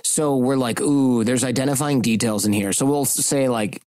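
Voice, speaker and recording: Male podcast host, mid pitch, candid and rambling, recorded dry and close. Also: steady tone, moderate pace, darkish tone, no echo. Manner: candid, rambling, lightly profane. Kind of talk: casual chat.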